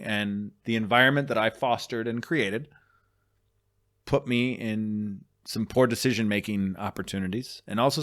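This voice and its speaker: A man's voice: fairly low pitch, fairly bright tone. A man co-hosting a podcast in casual chat, joking and boastful at times, earnest and reflective at others. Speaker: podcast co-host.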